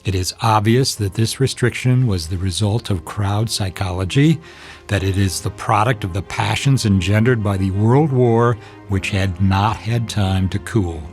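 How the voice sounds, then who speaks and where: A man's voice: on the low side, faint music behind, close to an everyday voice. A man reading as a stern dissenting judge. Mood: grave. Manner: measured, formal, grave.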